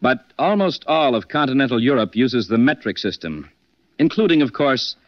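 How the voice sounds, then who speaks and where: A confident gentleman detective, played by a male actor, addressing a radio mystery audience. His mid-pitched voice is polished and animated, with a dry, dull vintage sound. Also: dry recording, close to an everyday voice. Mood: confident deduction. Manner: polished, deliberate. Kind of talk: 1940s radio acting.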